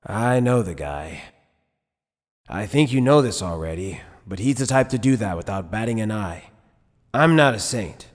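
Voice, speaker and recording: A man playing an easygoing but shrewd middle-aged head captain in an anime fan dub, speaking in a measured, wry, casual way. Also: lowish voice, expressive, close to an everyday voice. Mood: grave, measured. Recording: long hall reverb.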